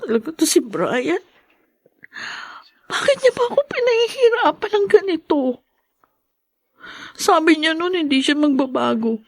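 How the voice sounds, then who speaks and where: A woman's voice: extremely high pitch, very animated, unhurried, pauses often, no echo, exaggerated voice. An actress in a Tagalog radio drama playing a meek, long-suffering young mother with an earnest, hesitant manner. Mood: despairing.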